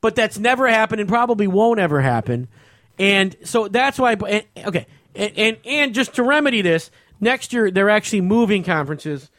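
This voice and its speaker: A man's voice: high-pitched, expressive, moderate pace, fairly bright tone, dry recording, studio quality. An American man on a casual sports talk show, ranting in an exasperated, emphatic way and repeating himself. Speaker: podcast host.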